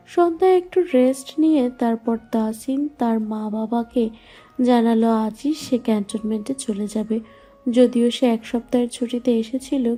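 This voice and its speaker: A woman's voice: fairly high pitch, mellow. A woman narrating a scripted romantic story. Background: faint music.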